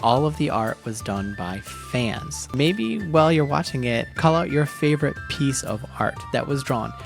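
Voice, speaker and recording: A man's voice: mid-range voice, animated, unhurried, creaky, soft background music. A man hosting a story podcast, giving an informal spoken introduction. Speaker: podcast host.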